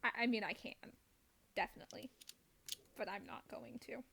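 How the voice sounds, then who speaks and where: A woman's voice: fairly high pitch, moderate pace, vocal fry, sharp tone, a little hiss or hum. A woman hosting a game stream, chatting casually about play in a playful, self-deprecating way. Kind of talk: casual live gameplay chat.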